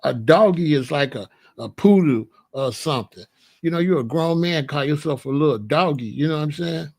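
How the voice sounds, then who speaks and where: A man with a gravelly, somewhat high voice talks bluntly through a casual monologue. His is slangy and repetitive, and he keeps asking whether you follow him. He is confrontational and plain-spoken. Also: normal speed. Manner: repetitive, keeps asking you know what I'm saying. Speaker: commentary channel host.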